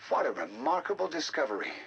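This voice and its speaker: An actor playing a learned, eager researcher, narrating game audio logs in a measured, articulate, intrigued manner. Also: male voice, a little high, sing-song, creaky, a bit bright, highs cut off, slight background noise, heavily acted. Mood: fascinated, eager. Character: learned male researcher, eager and fascinated by alien ruins.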